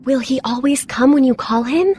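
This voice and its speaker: A high-voiced little girl, acted by a woman in an animated children's story. She is lonely and sour, curious and blunt in what she says, with some noise behind her. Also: moderate pace, natural voice. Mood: wistful, curious.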